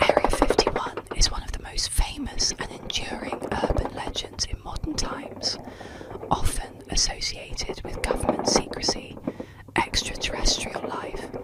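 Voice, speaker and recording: A woman narrating a script in a soft, breathy ASMR whisper, slow, hushed and soothing. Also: poor audio. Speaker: ASMR host.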